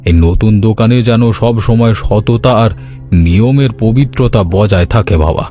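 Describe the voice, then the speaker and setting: A man's voice: fairly low pitch, moderate pace, dark tone, soft background music, natural voice. A man voicing a kindly old village uncle in a cartoon story, with a fatherly, moralizing manner. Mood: gently advising. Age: older. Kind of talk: Bengali animated story voice acting.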